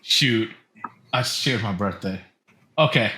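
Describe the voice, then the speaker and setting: A man's voice: on the low side, slow pace, sharp tone, a little hiss or hum. A man in his thirties co-hosting a podcast, chatting casually and opinionatedly among friends. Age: 30s. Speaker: podcast co-host.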